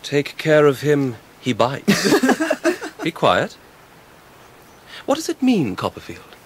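An English actor playing a confident, patronising teenage schoolboy in a radio drama, with a smooth, lordly manner. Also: male voice, medium pitch, wildly swooping pitch, slow pace, heavily acted. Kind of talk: British radio drama acting.

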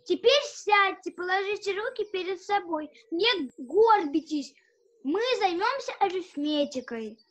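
A woman's voice: extremely high pitch, lively intonation, a little slow, fairly bright tone, full character voice. A child playing a prim, bossy girl doll who instructs and scolds like a governess in an audio play. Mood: prim, strict.

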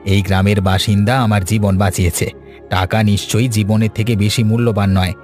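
A man's voice: lowish voice, flat delivery, fairly quick, a little mellow, close to an everyday voice. A man voicing a grateful, generous travelling merchant in a cartoon story. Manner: polite, earnest. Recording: music bed behind.

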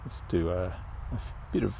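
An older man flying a model plane in an open field outdoors, giving relaxed, enthusiastic commentary as he flies. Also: low voice, fairly quick, creaky, a little hiss or hum, low-quality recording. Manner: relaxed, enthusiastic, thinking aloud while flying. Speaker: RC pilot reviewing a plane. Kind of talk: casual live flight commentary.

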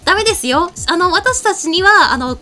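A woman's voice: very high voice, lively intonation, moderate pace, bright, forceful tone. A young woman co-hosting an anime web show, speaking politely and moving between casual chat and announcements. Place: small indoor studio at a desk. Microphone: desk microphone. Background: faint music.

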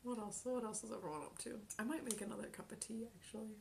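A young woman in her twenties chatting casually on a live stream from her bedroom, reading chat aloud, giggling often and dropping into soft near-whispers. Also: on the high side, fast talker, vocal fry, a little hiss or hum. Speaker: Twitch streamer. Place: small bedroom at home.

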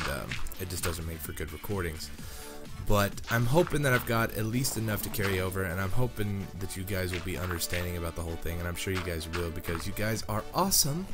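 A young man with a head cold, his voice nasal and scratchy, chatting casually while playing a game. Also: sits low. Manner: rambling, chatty, many fillers like "um" and "like". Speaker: Minecraft YouTuber. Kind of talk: casual commentary over gameplay. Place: home room, recording near a sleeping girlfriend. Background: background music.

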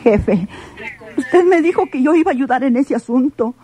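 An actress with a Mexican accent playing a frightened, hesitant underling of a crime boss in a radio drama. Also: female voice, sits high, lively intonation, moderate pace, dark tone, soft background music. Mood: afraid. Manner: hesitant, timid, pleading.

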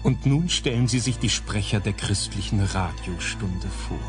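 Actor as a low-pitched, wry narrator. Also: male voice, a little slow, music in the background, close to an everyday voice. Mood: dry irony. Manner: wry, ironic. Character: wry male narrator addressing his listeners. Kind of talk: scripted radio play narration.

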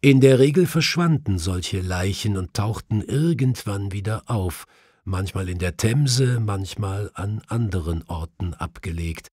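A man's voice: fairly low pitch, animated, a little slow, a little mellow, husky, no echo, studio quality. A man narrating a mystery audiobook in a dramatic, scripted storytelling style.

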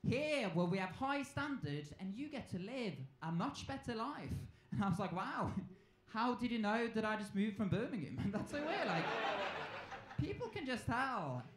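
A man's voice: high-pitched, very animated, moderate pace. A young man with a Norwegian accent performs stand-up comedy into a handheld stage mic. He talks conversationally and playfully acts out exchanges. The club sound is roomy and echoing, with a little background noise. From Norway. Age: 20s. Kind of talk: live stand-up comedy routine. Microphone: handheld stage microphone.

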